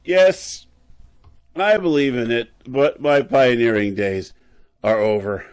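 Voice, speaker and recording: A man performs a disgraced brain surgeon with a mid-pitched voice, bitter and soaked in drink. He lectures in the manner of old radio drama, stumbling here and there over his words. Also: natural voice. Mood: defeated, wistful. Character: embittered, drunken disgraced brain surgeon and professor.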